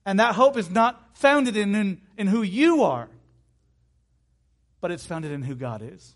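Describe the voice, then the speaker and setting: High-voiced man preaching. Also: sing-song, measured pace. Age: 30s. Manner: emphatic, repeats key phrases, conversational asides. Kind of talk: sermon.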